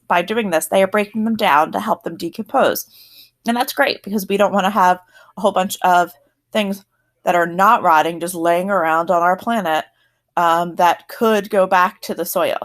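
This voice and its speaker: A woman teacher with a South Jersey accent giving a recorded science lesson, explaining in a friendly, chatty way and correcting herself as she goes. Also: mid-range voice.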